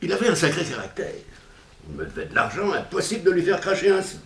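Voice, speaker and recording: Voisin campagnard rocailleux et râleur. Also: male voice, high-pitched, huge pitch swings, quick pace, crowd noise, full character voice. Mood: grumbling, rueful. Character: grumbling, garrulous rustic male neighbour. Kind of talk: 1960s French radio drama acting. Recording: echoing, distant from the microphone.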